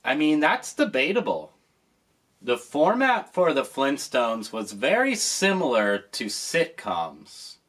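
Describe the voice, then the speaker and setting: A man hosts a nostalgic commentary, speaking slowly and with real enthusiasm. His pitch rises and falls freely as he stresses each point. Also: very animated, fairly bright tone. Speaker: host.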